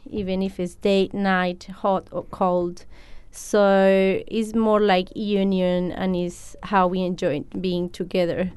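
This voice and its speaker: A woman from Colombia speaks English as her second language, answering interview questions with frequent ums and easy laughter. Her voice is very clear and smooth. The recording is close and dry, with no room sound. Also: mid-range voice, no echo. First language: Spanish. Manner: hesitant, many fillers, laughs often. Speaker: café owner.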